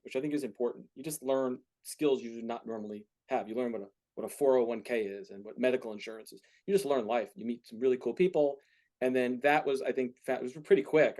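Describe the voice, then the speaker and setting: A man's voice: medium pitch, darkish tone. A man in his forties answering questions informally as a guest speaker in front of a class. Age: 40s. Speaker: guest speaker, entertainment business founder.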